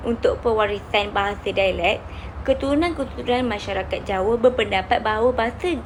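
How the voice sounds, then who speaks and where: A woman's voice: fairly high pitch, a little hiss or hum, low-quality recording. A young woman with a Malaysian accent formally reading out a scripted student presentation. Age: young adult. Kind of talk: scripted presentation read aloud.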